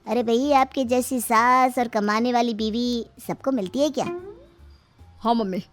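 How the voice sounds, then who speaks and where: Woman acting breezy, lazy young son-in-law, higher-pitched. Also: fairly even intonation, measured pace, soft background music, lightly acted. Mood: breezy flattery.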